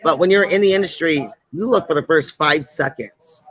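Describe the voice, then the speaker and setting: A woman with a deep voice, a blunt and heavily swearing guest chatting casually about metal. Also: moderate pace, a bit bright, rough recording. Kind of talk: casual chat.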